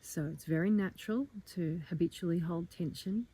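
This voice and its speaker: Woman, lowish pitch, very soft-edged dark tone, calm, gentle, measured meditation guidance. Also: husky. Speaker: meditation teacher, guide. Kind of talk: guided meditation, soft slow instruction.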